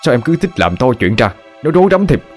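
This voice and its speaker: A man's voice: mid-range voice, big pitch swings, quick pace, darkish tone, slightly rough, soft background music, voice pushed up. A man voicing a tired, irritable middle-aged father who speaks curtly. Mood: irritated. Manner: curt and snapping.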